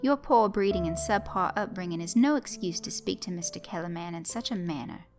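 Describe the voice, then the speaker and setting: A formal, menacing male games master, as a woman narrator puts him on, speaks with precise, ominous courtesy. The voice sits fairly low. Faint music plays behind. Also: fairly quick, close to an everyday voice. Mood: ominous polite.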